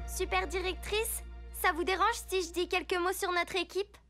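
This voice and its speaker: A woman's voice: very high voice, steady tone, moderate pace, over music. A woman voicing an eager, upbeat child superhero in a French-dubbed children's cartoon, full of enthusiasm. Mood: sincere. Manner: exclamatory, enthusiastic. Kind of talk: animated children's cartoon dub.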